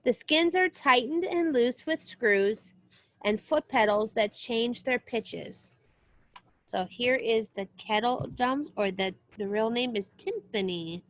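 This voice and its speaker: A woman with a somewhat high-pitched voice reads aloud in the role of a music teacher. She speaks in an explanatory way aimed at young listeners, moving between the book's text and short asides of her own. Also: slow pace, fairly bright tone. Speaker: music teacher reading a children's book aloud. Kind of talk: read-aloud lesson with short spoken asides.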